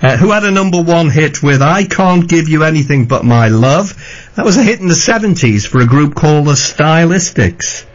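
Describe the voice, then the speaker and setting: A man hosting a radio phone-in quiz, chatty and laughing often as he reads questions briskly. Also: fairly high pitch, lively intonation. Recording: muffled lo-fi radio broadcast.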